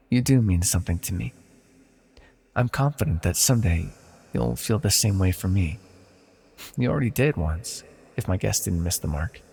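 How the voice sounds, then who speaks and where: Man acting a gravelly, condescending immortal villain sorcerer. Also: fairly low pitch. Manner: soft-spoken, condescending, theatrically formal. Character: immortal banished villain sorcerer, male, condescending, possessively tender. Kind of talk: ASMR fantasy audio roleplay monologue. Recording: long hall-like reverb.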